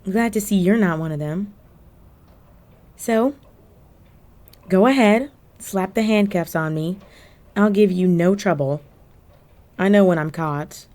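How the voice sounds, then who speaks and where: A woman's voice: mid-range voice, dark tone, lightly acted. A woman playing a flirty, cunning cat burglar villain in a roleplay audio drama, speaking coyly and teasingly to the listener. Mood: sly, teasing. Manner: coy, teasing, drawn-out phrasing with terms of endearment.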